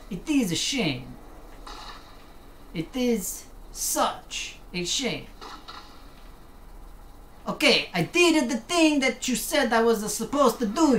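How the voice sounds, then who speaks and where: A woman streaming a game from her desk, chatting casually, joking around, trying out put-on accents and talking back to the game. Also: a little high. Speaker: gaming streamer. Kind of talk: casual live-stream commentary. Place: home room at a desk. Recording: roomy with faint room hum.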